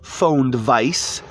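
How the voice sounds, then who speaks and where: A man's voice: fairly high pitch, husky, no room sound. A laid-back American man with a stuffy nose, chatting casually on a live morning stream from his phone inside an old camper van. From United States.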